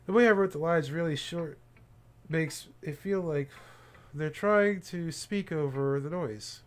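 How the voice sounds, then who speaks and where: A man's voice: fairly high pitch, measured pace. A man livestreaming a visual novel, casually commenting and reading the game text aloud, with game music behind him.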